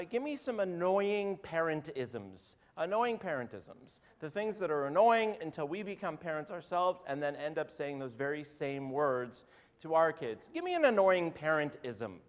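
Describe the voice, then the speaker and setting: A man, a rabbi with a slightly hoarse voice, giving a chatty, joking sermon and calling on congregants by name. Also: fairly high pitch. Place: synagogue sanctuary. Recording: roomy hall reverb.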